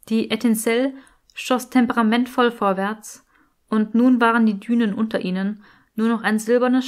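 A woman narrating a scripted story as an audiobook reader. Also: mid-range voice.

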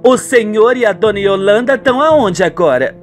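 A melodramatic young woman of a radio soap, acted out by a man. The voice is high and exclamatory, with music faintly behind it. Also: animated, normal speed. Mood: shocked, conspiring. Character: young woman, tender, scheming or gossipy, melodramatic.

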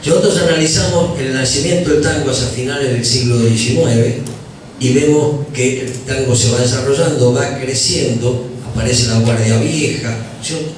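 A man's voice: mid-range voice, moderate pace, recorded from a distance. A man lecturing and explaining history at a panel in a university hall. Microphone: handheld mic, far camera. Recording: roomy hall from afar, background noise.

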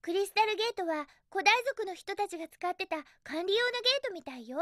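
A woman's voice: very high-pitched, animated, fast talker, dry recording, studio quality, exaggerated voice. A woman voicing a cheerful, feisty young hedgehog girl in a video game, with a girlish voice and a perky, sassy, emphatic delivery. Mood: curious. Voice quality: bright, girlish. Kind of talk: Japanese video game voice acting.

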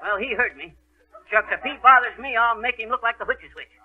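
An actor playing a blustering, boastful, folksy husband in a 1930s radio comedy. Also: male voice, very high-pitched, fairly quick. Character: blustering, bragging small-town husband, clumsy and folksy. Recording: dull, band-limited 1930s radio recording.

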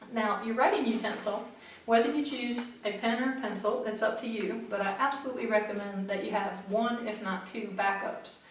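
A middle-aged woman flight instructor, calmly explaining practical tips to camera in a methodical way. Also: mid-range voice, slight background noise. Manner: clear, methodical, practical advice. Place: small studio room in front of a backdrop, seated. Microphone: far camera mic. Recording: very echoey room, mic far off.

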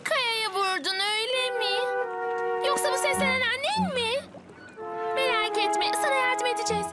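A woman's voice: very high voice, normal speed. A woman voicing a plucky, spirited young girl in a children's cartoon dub. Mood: spirited, earnest. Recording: loud music behind the voice. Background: music.

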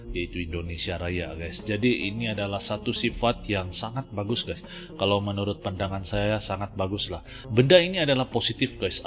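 A man in his thirties speaks with a Malaysian Malay accent. He hosts a casual reaction video into a studio mic in a small home studio, his fairly low voice earnest and emphatic. Loud music plays behind him. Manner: earnest, says "guys" constantly, emphatic. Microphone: studio mic on arm, wearing headphones. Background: music from the video being reacted to.

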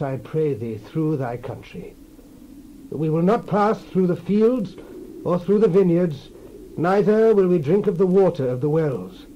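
A man's voice: fairly high pitch, warm tone, highs cut off, slight background noise. A man voicing a humble messenger of Israel who earnestly petitions a king.

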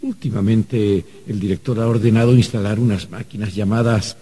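An actor playing a fussy, anxious archangel clerk in a radio drama, theatrical and easily flustered. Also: male voice, lowish voice, steady tone, moderate pace, dark tone, breathy edge. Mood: explaining. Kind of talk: Spanish-language radio drama acting.

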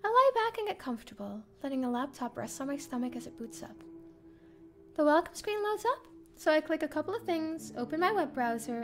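A woman streamer reading a visual novel aloud as first-person narration, expressive and theatrical. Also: high-pitched, slight background noise. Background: game music.

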